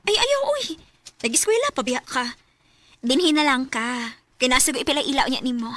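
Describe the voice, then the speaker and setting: A coaxing, protective married big sister in a radio drama. Her voice sits very high with a bright edge, and her talk is familiar and conversational. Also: expressive, normal speed, dry recording. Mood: teasing, persuasive.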